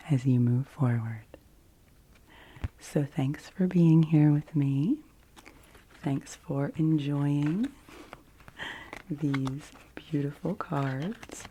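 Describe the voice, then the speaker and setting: A woman hosting ASMR, speaking gently and soothingly, slipping often between soft speech and whispers. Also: deep voice, breathy edge. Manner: slow, gentle, soothing delivery with frequent whispering. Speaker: ASMR video host.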